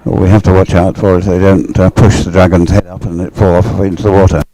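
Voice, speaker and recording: Deep-voiced man commentating on a race. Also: level tone, warm tone, rough recording. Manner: halting, thinking aloud in short phrases. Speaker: sports commentator. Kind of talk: live race commentary, explanatory and conversational.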